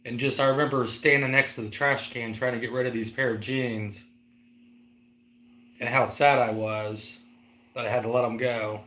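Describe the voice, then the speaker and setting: A man's voice: mid-range voice, steady tone, moderate pace, bright, forceful tone, echoey room, rough recording. A man in his forties co-hosting a casual live-stream chat, rambling and teasing, with lots of "like". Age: 40s. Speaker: co-host.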